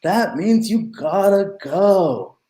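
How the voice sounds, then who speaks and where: A man playing a carefree, unflappable hero in an audio drama, earnest and deliberate, on a roomy video call. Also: high voice, animated, warm tone.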